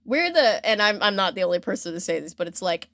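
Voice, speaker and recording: A woman's voice: medium pitch, very animated, quick pace, a bit bright, dry recording, studio quality. A woman hosting a podcast, telling a story in a casual, chatty, informal way and acting out imagined reactions.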